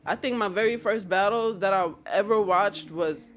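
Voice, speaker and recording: A woman's voice: mid-range voice, normal speed, a little hiss or hum. A young woman, a guest battle rapper talking casually and slangily about her start. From New York. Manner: laid-back, slangy, keeps asking "you feel what I'm saying". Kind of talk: casual interview chat.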